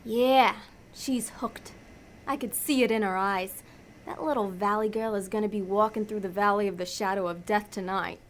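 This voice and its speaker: A woman's voice: mid-range voice, steady tone, moderate pace, slight background noise, close to an everyday voice. A woman playing a cynical, hard-nosed demon schemer in an audio drama, blunt and insistent in everyday ungrammatical speech. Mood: scheming.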